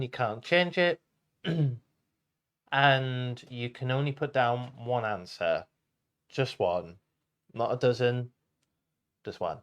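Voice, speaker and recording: Slow, halting man co-hosting a show. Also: medium pitch. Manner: halting, repeats words, clears throat. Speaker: show co-host. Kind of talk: casual show presenting.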